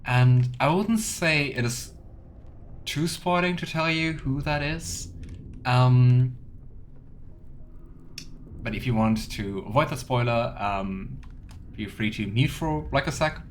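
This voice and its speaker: A man's voice: medium pitch, slow, long pauses, slight background noise. A man giving casual, chatty game commentary as a let's play streamer, sometimes reading game text aloud.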